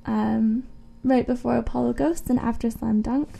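A woman's voice: on the high side, steady tone, normal speed, gravelly, warm tone. A woman co-hosting a radio show, chatting casually and informally about music between songs.

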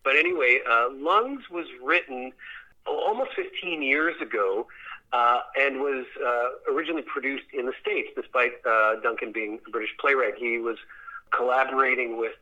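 A male interview guest explains things conversationally, in halting stretches. His voice is strikingly bright and forward, with a mid pitch, over a limited, phone-like connection. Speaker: theater director being interviewed. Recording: band-limited phone or radio-like line.

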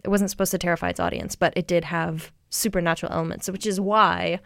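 A young woman co-hosting a podcast, walking through her research in a rambling, hesitant way with many ums and quick laughs. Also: on the low side, fairly even intonation, vocal fry, dry recording, studio quality. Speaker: podcast co-host presenting research. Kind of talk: casual chat.

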